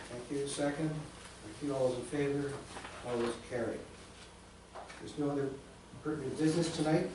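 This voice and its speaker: A man chairs a town council meeting, reading motions and calling for votes in a brisk procedural rhythm. He sits well back from the microphone in a hard, heavily echoing chamber, with a steady hiss of room noise behind him. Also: medium pitch. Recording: echoey chamber, distant mic, background noise.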